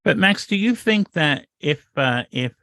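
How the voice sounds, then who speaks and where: A man co-hosting a casual podcast, asking animated, jokey questions in a voice he calls higher. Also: measured pace, gravelly, no room sound. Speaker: podcast co-host.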